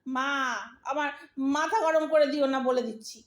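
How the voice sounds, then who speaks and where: A woman playing an exasperated, sharp-tongued young married daughter in an audio drama, bossy and impatient, with a Bengali accent. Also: sits high, fairly even intonation, normal speed, natural voice. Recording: roomy, echoing audio drama recording.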